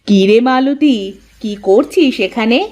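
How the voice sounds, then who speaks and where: A woman's voice: sits high, measured pace, a little mellow. A woman voicing a bossy, easily offended elder daughter-in-law in a Bengali cartoon story.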